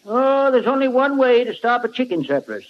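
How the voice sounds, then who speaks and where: A man playing a folksy rural American farmer in 1940s radio comedy, complaining in a deadpan way. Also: extremely high pitch, brisk. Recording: muffled, noisy 1940s radio broadcast.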